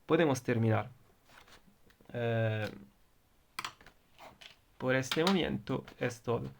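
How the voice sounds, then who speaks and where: Italian guy explaining circuits in a foreign language, bit gravelly, keeps repeating himself. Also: mid-range voice, slow, a little hiss or hum. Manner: repeats and rephrases points, restarts often. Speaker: teacher. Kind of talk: explaining electronics on video.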